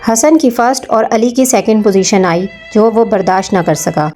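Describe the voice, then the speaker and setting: A woman's voice: a little high, moderate pace, warm tone, soft background music. A woman narrating a scripted moral story for children.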